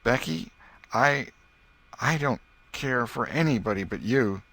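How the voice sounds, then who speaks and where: A man's voice: medium pitch, a little slow, sharp tone, voice pushed up. A man voicing an eager, lovestruck American schoolboy who speaks in earnest, rustic, boyish phrases in an audiobook. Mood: pleading. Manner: earnest, rustic nineteenth-century boyish speech. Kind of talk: audiobook character reading.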